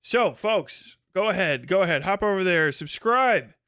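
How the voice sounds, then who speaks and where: American guy doing a casual livestream, kinda high clear voice, rambles and cusses a lot, irreverent. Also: expressive, a little slow, pushed and bright, no echo, clean studio sound. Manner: irreverent, rambling, swearing freely. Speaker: podcast and livestream host. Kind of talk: casual profane livestream monologue.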